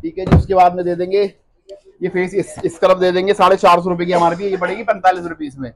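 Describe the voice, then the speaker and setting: A high-voiced man in his thirties talks very fast, listing goods and discount prices like a seasoned seller. His pushy patter stumbles and repeats words as he rushes on. The sound comes close from a phone camera in a shop. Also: little pitch movement. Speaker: wholesale cosmetics shop owner. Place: cosmetics shop among stocked shelves, indoors. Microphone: handheld phone camera up close.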